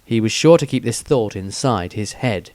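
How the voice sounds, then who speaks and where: A man reading a fantasy story aloud in steady, measured scripted narration. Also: lowish voice, no echo. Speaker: audiobook reader.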